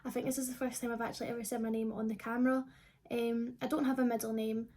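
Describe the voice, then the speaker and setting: A young Scottish woman of twenty talks to camera like a vlogger. Her voice is fairly high and mellow, with little rise and fall in pitch. She rambles informally and casually, as if chatting with friends. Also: level tone, fast talker. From Scotland. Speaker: vlogger answering viewer questions.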